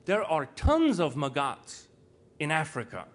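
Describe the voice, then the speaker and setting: A man's voice: fairly high pitch, wildly swooping pitch, unhurried. A middle-aged man from Switzerland giving an informal conference speech, earnest and emphatic, with rhetorical questions and repetition for stress. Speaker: panel speaker, entrepreneur and foundation co-founder. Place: indoor conference panel, live audience. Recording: live event room with clear background noise.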